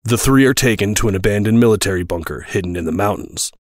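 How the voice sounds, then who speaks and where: A man's voice: fairly low pitch, quick pace, dry recording, studio quality. A man reading scripted narration as the narrator of an audio drama.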